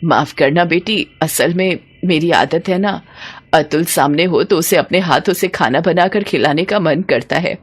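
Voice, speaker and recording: A woman voicing a gentle, humble older village mother who sounds quietly worried, in a story. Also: lowish voice, measured pace, husky, voice pushed down. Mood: apologetic.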